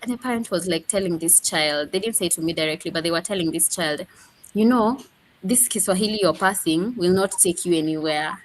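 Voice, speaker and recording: Earnest low-voiced woman teacher. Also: brisk, poor audio. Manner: earnest, storytelling with quoted speech and repeats. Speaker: Swahili teacher, guest. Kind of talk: interview answers.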